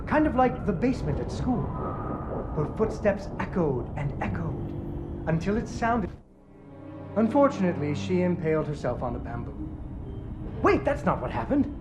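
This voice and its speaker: A man's voice: on the high side, poor audio. An actor playing a patient father who improvises a fairy tale for his daughter in a video game, narrating dramatically with wry playfulness. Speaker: storyteller.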